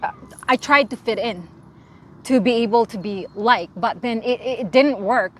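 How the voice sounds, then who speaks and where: A woman's voice: fairly high pitch, measured pace. Earnest woman with a Filipino accent. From Philippines. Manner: earnest, frank, often restarts words. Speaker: interviewee. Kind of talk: casual interview.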